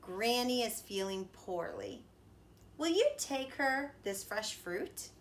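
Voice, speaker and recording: A woman voicing a kind, gentle mother in a storybook reading. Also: fairly high pitch, lively intonation, slow, slight background noise. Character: kind mother giving a task.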